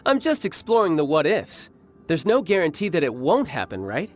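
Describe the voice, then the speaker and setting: A man's voice: on the high side, huge pitch swings, moderate pace. A cocky young male warrior from a video game, who relishes a fight. The voice swings expressively up and down in a teasing, theatrical way, over light music. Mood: teasing, confident. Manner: teasing, self-assured, theatrical. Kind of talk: video game character acting. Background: background music.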